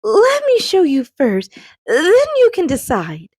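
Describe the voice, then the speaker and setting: A fearful, fretful magical rock spirit from a children's storybook, put on by a woman reading aloud. Her voice sits high and wobbles with worry, leaping even higher when she is scared. The sound is dry and close. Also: takes it slowly, no echo, full character voice. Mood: nervous. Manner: fretful, quavering, easily frightened. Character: timid female wish-granting rock spirit.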